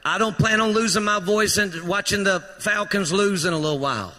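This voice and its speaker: A man's voice: high voice, brisk, bright, forceful tone, slight background noise. Man, pastor, fervent sermon, strained voice, echoing church hall reverb. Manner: fervent preaching, rousing calls to the congregation. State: voice strained from shouting. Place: church sanctuary.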